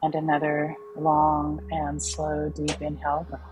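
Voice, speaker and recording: Low-voiced woman guiding a slow meditation. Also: lo-fi sound. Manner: calm, soothing, unhurried, with long pauses. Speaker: meditation guide. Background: soft background music.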